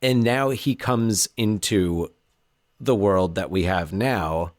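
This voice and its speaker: A man's voice: fairly low pitch, slow pace, no echo, studio quality. A man co-hosting a casual movie podcast, talking animatedly with frequent restarts. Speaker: podcast co-host. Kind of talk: casual chat.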